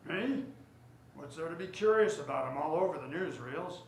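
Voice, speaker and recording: A man's voice: fairly high pitch, lively intonation, moderate pace, close to an everyday voice. A man playing a sentimental, self-important store heir in a 1940s-style radio drama, speaking formally and theatrically. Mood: defensive. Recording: roomy theater stage, faint noise.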